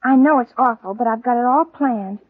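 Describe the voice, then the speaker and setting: An actress playing a scheming, coaxing teenage daughter in a 1930s radio comedy. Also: female voice, on the high side, a little fast, close to an everyday voice. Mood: coaxing, pleading. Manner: earnest, coaxing. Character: scheming, pleading teenage daughter and jealous sweetheart. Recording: muffled old 1930s radio recording.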